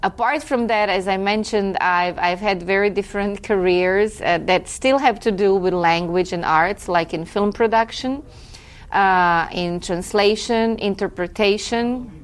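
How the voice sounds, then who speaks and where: A woman speaks as an interview guest at a middle pitch. She talks in an unhurried, reflective way and corrects herself now and then. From Croatia. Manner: reflective and chatty, with occasional laughs and self-corrections. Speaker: guest, film festival director. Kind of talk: relaxed conversational interview.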